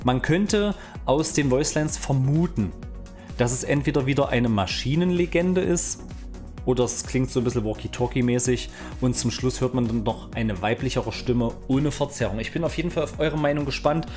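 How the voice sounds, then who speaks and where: A man's voice: medium pitch, lively intonation, moderate pace, a little mellow. Casual male gaming YouTuber, music behind. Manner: informal, explanatory. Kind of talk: casual video game news vlog. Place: small indoor home streaming room. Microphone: studio microphone on boom arm, close.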